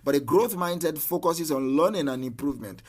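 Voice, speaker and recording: A man's voice: a little high, fairly quick. Earnest man giving motivational talk. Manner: repeats key lines for emphasis, earnest and direct. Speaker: mindset coach.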